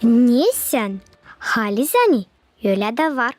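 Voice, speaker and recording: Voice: medium pitch, sing-song, a little slow, faint music behind. A performer voicing a sensible, chiding child in a cartoon. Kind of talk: cartoon dialogue.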